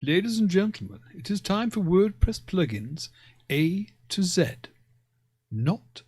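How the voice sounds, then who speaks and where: A man's voice: high-pitched, very animated, a little slow, a little mellow, breathy edge, no echo. A man speaking in the theatrical style of a show announcer.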